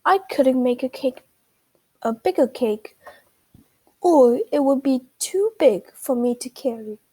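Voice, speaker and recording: A woman voicing a tiny, plain-spoken mouse baker with a squeaky voice for a children's storybook. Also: lively intonation, takes it slowly, warm tone, husky, exaggerated voice. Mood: matter-of-fact.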